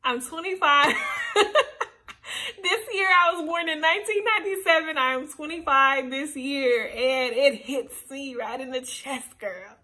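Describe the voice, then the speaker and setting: A woman's voice: high voice, a little slow. A woman in her twenties chatting casually and playfully to the camera, answering get-to-know-me questions in a small room. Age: 20s. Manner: animated, chatty, playful asides. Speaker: YouTuber. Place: small indoor room, seated on a sofa.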